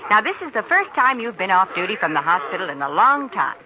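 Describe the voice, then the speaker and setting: A woman's voice: medium pitch, big pitch swings, fast, muffled recording, crowd noise, close to an everyday voice. An actress playing an affectionate, considerate young woman engaged to an intern in a 1940s radio drama. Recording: thin, noisy 1940s radio recording.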